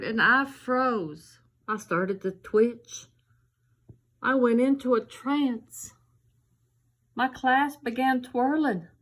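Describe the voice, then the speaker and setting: Woman playing a little zombie girl, eager ballerina, high-pitched, slow theatrical storybook delivery. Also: exaggerated voice. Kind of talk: children's storybook reading.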